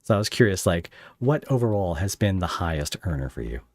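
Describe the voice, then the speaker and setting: A man hosting a casual live interview, speaking in a halting, rambling way as he searches for phrasing. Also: sits low, big pitch swings, darkish tone, no room sound. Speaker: podcast host interviewing a guest.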